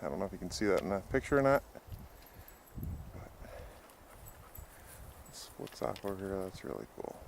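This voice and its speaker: A man's voice: sits low, big pitch swings, normal speed, gravelly, pauses often, background noise. A man casually narrating his backpacking trip outdoors on a mountain trail in an easygoing, wry way, recorded on a handheld camera mic.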